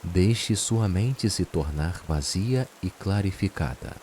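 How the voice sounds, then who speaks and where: Deep, slow Brazilian man guiding meditation. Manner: soothing, unhurried, with long pauses. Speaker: meditation guide. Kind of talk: guided meditation narration. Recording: steady rain and hiss behind voice. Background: rain sounds.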